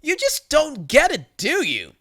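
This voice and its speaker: A sarcastic store manager acted out by a male narrator who savours standing up to a bully. The voice is high and very animated, with smug, emphatic defiance suited to an online story. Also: unhurried, heavily acted.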